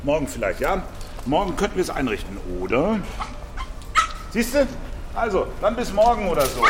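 A man playing a gruff but teasing older homeless man, big and shaggy, in a children's radio play. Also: on the high side, sing-song, normal speed, background noise. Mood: wheedling, friendly.

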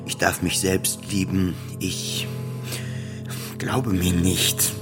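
A man's voice: low voice, level tone, very slow. An actor playing a self-doubting, depressed man in an intimate, brooding first-person radio play monologue. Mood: bleak, self-loathing. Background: music.

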